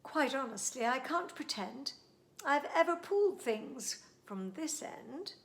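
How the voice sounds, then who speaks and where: A woman's voice: high-pitched, lively intonation, slow pace, pitched above the performer's own voice, heavily acted. A woman voicing a gleeful, pompous male dentist in a storybook poem reading, gloating and grand.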